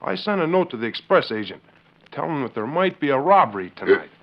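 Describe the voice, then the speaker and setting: An actor in a 1940s radio western playing a rancher's brash young son, insistent and urgent. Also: male voice, mid-range voice, very animated, fast talker. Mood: accusing, insistent. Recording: muffled 1940s radio recording.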